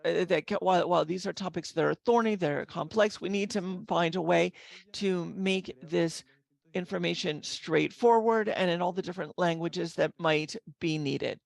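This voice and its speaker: Woman interpreter with a gravelly edge. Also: fairly low pitch, normal speed, no room sound. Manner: steady delivery with brief restarts and self-corrections. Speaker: simultaneous interpreter. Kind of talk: live interpretation of a panel speech.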